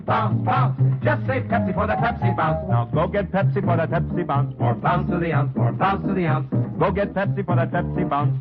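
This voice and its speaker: A man singing a bouncy old-time radio advertising jingle. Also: high voice. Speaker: commercial jingle singer. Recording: muffled old radio recording. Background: loud music.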